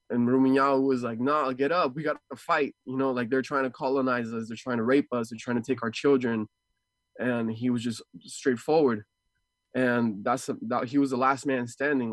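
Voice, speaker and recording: Halting, reflective man, dry close sound. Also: mid-range voice, no echo. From Ecuador and Houston, USA. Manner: halting, searching, repeats words. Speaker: martial arts fighter, interview guest. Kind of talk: casual, reflective interview talk.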